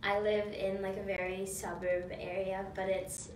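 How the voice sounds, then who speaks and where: A woman's voice: medium pitch, flat delivery, measured pace, slight background noise. Sweet young woman, echoey room. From Kansas, US. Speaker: pageant titleholder. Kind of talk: casual chat. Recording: echoey room, mic at a distance.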